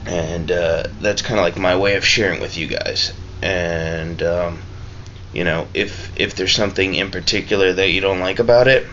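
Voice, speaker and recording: Man, low deep voice, laid-back vlogger, halting casual review, muffled lo-fi sound, noisy background. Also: fairly bright tone. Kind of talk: casual, rambling vlog review. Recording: dull lo-fi mic with clear background noise.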